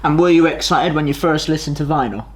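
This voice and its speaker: A man's voice: a little high, fast talker. A young man presenting a news-style history video in an enthusiastic, informal, exclamatory way. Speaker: student presenter. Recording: music behind the voice.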